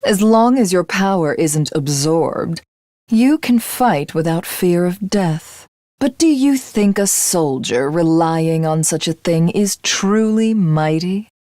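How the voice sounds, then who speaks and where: Game villainess: proud alien supreme commander, imperious and measured, mid-pitched with animated intonation, unhurried delivery. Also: female voice, dry recording, clean studio sound. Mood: calm, philosophical. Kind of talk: video game character voice acting.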